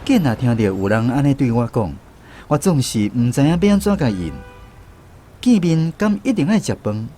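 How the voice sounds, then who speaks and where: Taiwanese man narrating with lively intonation. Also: medium pitch, normal speed. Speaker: radio drama narrator. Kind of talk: scripted first-person storytelling narration. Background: instrumental music.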